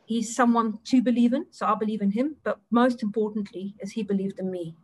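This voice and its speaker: A woman's voice: medium pitch, fairly even intonation, brisk, poor audio. A middle-aged woman from South Africa with a South African accent, an Afrikaans native speaker, answering interview questions with many ums and restarts. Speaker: interview guest, audit partner.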